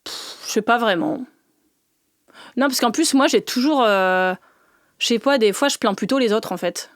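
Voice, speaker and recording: A woman from the north of France, a politician guest in an interview, talking fast and animated with laughing asides and many restarts. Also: a little high, dry recording, clean studio sound. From northern France, mining basin. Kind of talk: lively conversational interview.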